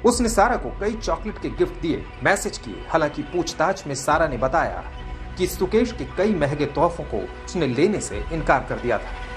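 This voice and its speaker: A news narrator reading a scripted report. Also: medium pitch. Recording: music bed under voice. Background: background music.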